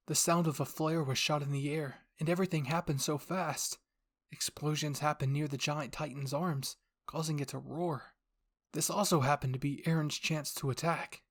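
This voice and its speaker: A storyteller narrates first-person fan fiction in a mid-range voice. The delivery is dramatic and suspenseful, easing quieter for the tense moments. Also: mid-range voice. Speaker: narrator and voice actor of all characters.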